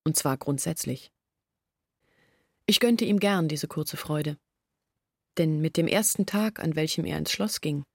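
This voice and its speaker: An actress playing an earnest woman who confesses her family's failures in a reflective, measured monologue from a literary radio play. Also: low-pitched, creaky, no echo, clean studio sound, heavily acted. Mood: confessional, resolute.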